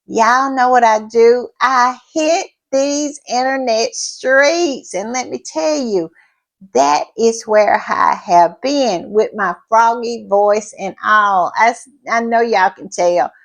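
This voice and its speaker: A woman hosting her own channel in casual chat, her voice froggy and hoarse, sounding tired and repeating her thank-yous. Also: fairly high pitch. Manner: drawn-out, repetitive thank-yous and southern-style "y'all" asides. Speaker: channel host.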